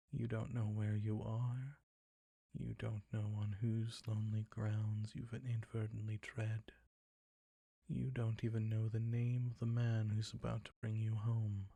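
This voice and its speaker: A man playing a suave, predatory ancient vampire count in an intimate roleplay audio drama, soft and breathy, courtly and condescending. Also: lowish voice, no echo, heavily acted. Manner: courtly, condescending, slow and savoring.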